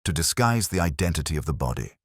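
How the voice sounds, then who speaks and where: A man's voice: extremely low pitch, sing-song, fast talker, dry recording, studio quality, full character voice. A man voicing a puzzled, earnest detective's companion in an audiobook. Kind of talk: audiobook character dialogue.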